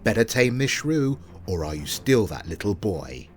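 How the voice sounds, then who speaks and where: An actor playing a boastful, bullying hero, delivering rhyming lines in a taunting, pompous, theatrical manner. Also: male voice, lowish voice, sing-song, moderate pace, a little hiss or hum. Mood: scornful, indignant. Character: bullying, boastful fictional hero man turned on his author. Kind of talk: rhyming scripted audio drama.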